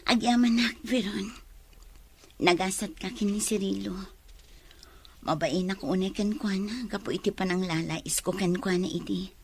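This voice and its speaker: A woman's voice: medium pitch, normal speed, long pauses, husky. A woman acting a humbled, remorseful young woman with an Ilocano Filipino accent in a radio drama. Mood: ashamed, grateful.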